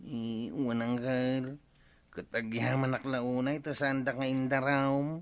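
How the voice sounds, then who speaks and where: A man's voice: mid-range voice, little pitch movement, measured pace, clear, resonant tone, close to an everyday voice. An actor playing a sorry young male thief with an Ilocano accent, pleading and begging forgiveness in a radio drama. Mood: apologetic. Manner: pleading, apologetic.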